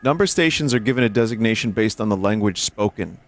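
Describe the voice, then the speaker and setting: A man's voice: fairly low pitch, expressive, fast, soft background music. A man hosting a livestream, reading text aloud and commenting casually. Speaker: streamer and host.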